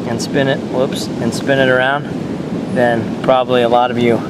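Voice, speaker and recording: A man on a rocky beach telling made-up stories in a deadpan way to a handheld camera. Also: medium pitch, normal speed. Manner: deadpan, tall tales told straight. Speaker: vlogger. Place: rocky beach outdoors by the surf. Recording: loud surf noise outdoors. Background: loud ocean waves.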